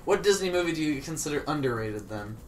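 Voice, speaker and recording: Young male let's play host, very lively intonation, rambling and jokey, slightly distant mic over game music. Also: fairly high pitch, fast. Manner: joking, rambling, swears freely. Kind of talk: casual gaming commentary.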